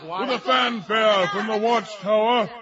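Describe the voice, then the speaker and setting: A man narrating a mock-epic fantasy tale in a grandiose, theatrical way, swelling and falling in force. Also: unhurried. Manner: grandiose, theatrical, swelling to shouts and dropping low. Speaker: storyteller. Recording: dull sound with crowd noise behind.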